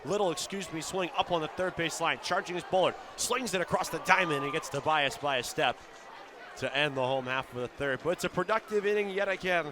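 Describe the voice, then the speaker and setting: A man calling live baseball play-by-play for radio in a fluent, descriptive style that can build to shouts on big plays. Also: a little high, a little fast. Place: outdoor baseball stadium broadcast position. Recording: live ballpark with crowd noise behind. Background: crowd noise.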